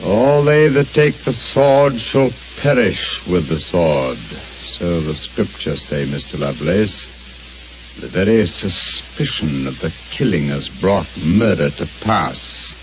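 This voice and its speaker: Brief: a composed, authoritative detective, very low and lively, on a dull old radio recording. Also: male voice, noisy background. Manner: measured, precise, authoritative. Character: brilliant, composed male detective. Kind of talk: 1940s radio acting. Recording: muffled old radio broadcast.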